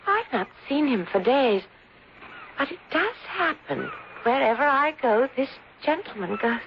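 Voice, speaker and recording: A fairly high voice with a creaky edge, a frail and melancholy young English lady. She speaks delicately and politely, in old radio drama style. Clear noise sits behind her. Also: very animated, measured pace, exaggerated voice. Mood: wistful unease. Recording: noisy dull old radio broadcast.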